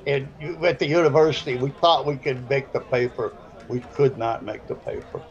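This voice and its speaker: An older man with a South Side Chicago accent rambles through pool commentary in a gruff, folksy way. He drifts into storytelling between shots. The recording sounds dull and lo-fi. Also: mid-range voice, normal speed. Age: 70s.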